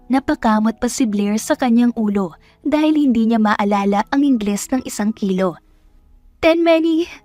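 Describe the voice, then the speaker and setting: A woman's voice: mid-range voice, darkish tone, dry recording. A woman with a Filipino accent reading scripted story narration as a storyteller.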